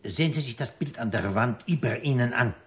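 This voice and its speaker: An actor playing a self-important restaurant owner in a radio drama, florid and ceremonious, with an unctuous, sinister charm. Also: male voice, mid-range voice, animated, a little fast, close to an everyday voice. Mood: mock solemn, sinister. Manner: florid, ceremonious, repeats polite phrases. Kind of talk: German radio drama acting.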